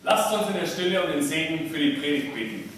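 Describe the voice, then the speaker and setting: A man's voice: on the high side, lively intonation, fairly quick, a bit bright. A young man preaching as a pastor, giving a personal, conversational sermon from a church pulpit. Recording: echoey church, distant, faint noise.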